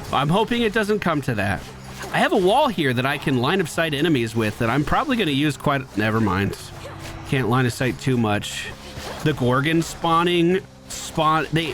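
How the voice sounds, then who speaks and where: A man's voice: on the high side, a bit bright, a little hiss or hum. A man giving casual running commentary as he plays a video game, talking to himself with exasperated exclamations and swearing. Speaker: gaming video creator.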